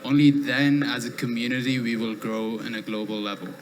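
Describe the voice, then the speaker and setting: A man's voice: mid-range voice, level tone, a little fast, noisy background. A man hosting a live event, easygoing and grateful, with loose, casual phrasing as he wraps things up. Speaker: host of a live event series.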